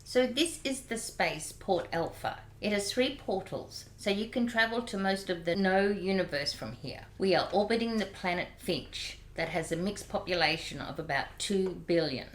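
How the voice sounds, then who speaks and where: A woman's voice: mid-range voice, expressive, normal speed, few pauses, close to an everyday voice. A woman voicing a handheld companion device, matter-of-fact and informative, in an amateur radio play. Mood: helpful. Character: helpful handheld companion device, female-voiced. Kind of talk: amateur radio drama acting. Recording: roomy echo with faint hiss.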